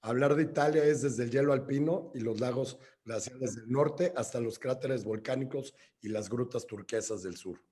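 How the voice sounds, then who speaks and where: A man's voice: mid-range voice, moderate pace, hardly any pauses, warm tone. A man hosting a webinar, reading a formal descriptive introduction. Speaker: webinar host.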